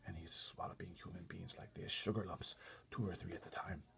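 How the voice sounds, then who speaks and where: A man voicing a gentle, nervous giant who speaks in a hushed way with folksy, muddled grammar. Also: sits low, steady tone, a little fast, vocal fry, in a whisper, full character voice. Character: gentle, nervous friendly old-fashioned giant.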